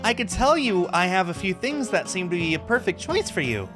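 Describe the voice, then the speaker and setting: A man voicing a cheerful, flirty female peddler from a visual novel, chatty and theatrical with a gushing, excited delivery. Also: high-pitched, brisk, over music. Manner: gushing, teasing, excited sing-song delivery. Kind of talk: visual novel character read-aloud.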